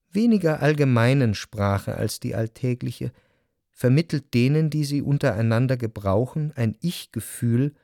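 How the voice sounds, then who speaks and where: Literary radio drama narrator: wry social observer, deliberate phrasing, middle pitch, no room sound. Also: male voice, warm tone, no echo, clean studio sound. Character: reflective memoir narrator, wry observer of society.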